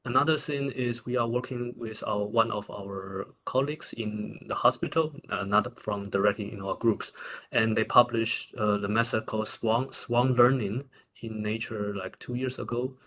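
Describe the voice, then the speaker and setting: A man with a Taiwanese accent answering questions as a researcher at a conference, in halting, informal phrasing with repeated words. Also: on the low side, fairly even intonation, bright, forceful tone, a little hiss or hum. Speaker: researcher presenting.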